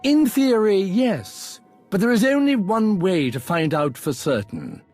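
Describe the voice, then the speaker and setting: An eager academic archaeologist for a game cutscene. His voice sits fairly high and swings in lively melody, with polite, bookish, slightly fretful phrasing. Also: measured pace, soft background music. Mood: keen. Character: eager, fretful, well-mannered scholarly archaeologist man. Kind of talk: video game cutscene acting.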